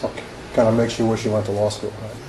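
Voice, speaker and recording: A man questioning a witness in a formal deposition, halting and full of hesitations and restarts. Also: lowish voice, completely flat delivery. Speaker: examining attorney. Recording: echoey room with background noise.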